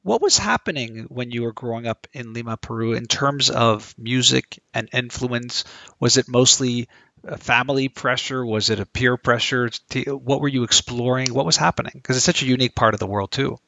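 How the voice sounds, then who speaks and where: A man hosts a conversational interview in a mid-pitched voice with a gravelly creak. His tone is bright and forward, and he phrases questions reflectively, in a very dry recording. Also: normal speed, no room sound, lo-fi sound. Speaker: interviewer, host.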